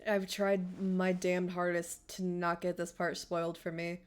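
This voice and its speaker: A woman's voice: lowish voice, completely flat delivery, measured pace, clear, resonant tone. A woman streamer reacting emotionally, muttering and swearing as she plays. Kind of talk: casual reaction while playing. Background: game music.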